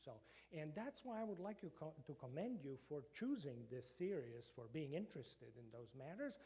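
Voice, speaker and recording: Croatian-accented professor, slightly high voice. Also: male voice, very animated, moderate pace, mellow. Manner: hesitant, many fillers and restarts, chuckles often. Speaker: professor giving a guest lecture. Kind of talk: informal lecture to a church group. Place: church meeting room.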